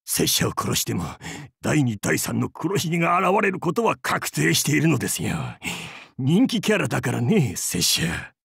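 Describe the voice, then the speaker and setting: An actor playing a boisterous, crude and goofy male pirate captain in a game, with a gravelly, husky voice and a hammy, theatrical, jokey way of talking. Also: fairly high pitch, very animated, moderate pace, a bit bright, no room sound, studio quality, heavily acted. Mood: hammy battle cries. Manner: hammy, theatrical, jokey otaku-style speech. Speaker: game character voice. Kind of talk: voiced game character lines.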